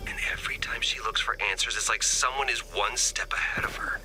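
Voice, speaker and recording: A man's voice: medium pitch, a little fast, music in the background, close to an everyday voice, low-quality recording. A man voicing a talkative, self-deprecating, goofy scientist in a video game, rambling comically. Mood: befuddled. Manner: rambling, comic, swings from whispered asides to exclamations. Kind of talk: scripted game character dialogue.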